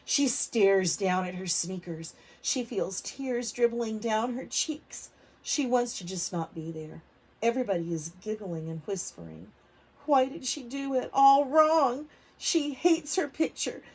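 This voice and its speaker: A woman reading a picture book aloud to preschoolers, expressive, stretching words for emphasis and putting questions to the children. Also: fairly high pitch, unhurried, slightly rough, rough recording. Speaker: read-aloud storyteller for preschoolers.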